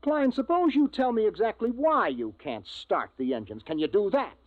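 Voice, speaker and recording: Bossy fed-up spaceship captain, 50s radio play, thin phone-like sound, dry. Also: male voice, high-pitched, expressive, moderate pace, no echo. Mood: angry, impatient. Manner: brusque, commanding, exasperated. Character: gruff, impatient commander of a galactic survey ship. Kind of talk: 1950s radio drama acting and narration. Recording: vintage radio, phone-like narrow band.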